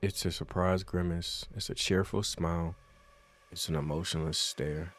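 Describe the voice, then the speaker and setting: A man's voice: low voice, steady tone, moderate pace, a bit bright, slight background noise. A man giving casual, slangy reaction commentary as he plays a horror game. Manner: informal, slangy. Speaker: gaming video host.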